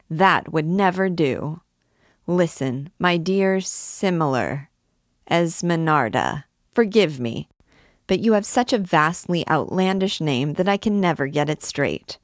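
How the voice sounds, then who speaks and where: A woman's voice: lowish voice, animated, slow, no echo. A glib young cavalry captain, played by a woman narrator, wooing in a storybook. He speaks gallantly and effusively, full of boasts, in a dry, close sound. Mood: jovial. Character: vain, amorous young male cavalry captain, glib flatterer. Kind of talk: audiobook character dialogue.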